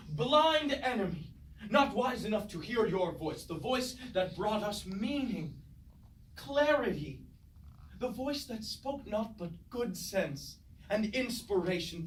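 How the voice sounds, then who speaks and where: A man's voice: high-pitched, a bit bright, exaggerated voice. A man playing a loyal, embittered robot soldier in a staged tragedy, declaiming in formal, archaic rhetoric. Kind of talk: staged reading of mock Greek tragedy. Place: indoor performance space, recorded from afar. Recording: echoey stage room, distant mic, faint noise.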